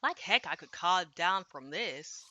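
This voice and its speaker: A woman's voice: lowish voice, animated, unhurried, pushed and bright, dry recording. A woman streaming a visual novel, reading translated dialogue aloud and adding casual, slangy asides. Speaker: game streamer.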